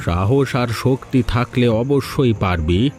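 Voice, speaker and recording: A man's voice: medium pitch, lively intonation, takes it slowly, darkish tone, faint music behind. A man playing a stern, all-knowing mystical guardian in a village fairy-tale cartoon, speaking in a solemn, commanding way. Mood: solemn, assured. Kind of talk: dramatic dubbed cartoon dialogue.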